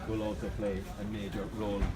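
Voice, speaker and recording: A man's voice: on the low side, monotone, fast. A middle-aged man with a Northern Irish accent leading a walking tour of his city, a chatty storyteller who jokes with his group. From Northern Ireland. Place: outdoors on city streets. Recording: street noise outdoors.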